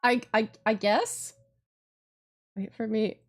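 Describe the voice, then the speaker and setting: A woman's voice: fairly high pitch, fairly even intonation, takes it slowly. A woman streaming a game with casual, exclamatory live commentary, often repeating her phrases. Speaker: streamer playing a visual novel. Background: game music.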